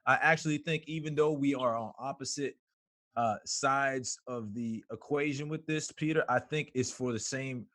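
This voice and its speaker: A man from Haiti hosting a podcast, earnest and agreeable, backing up his guest with steady "right"s. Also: medium pitch, moderate pace. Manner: agreeable, keeps saying "right" and "yeah", earnest. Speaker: podcast host. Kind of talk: casual conversation.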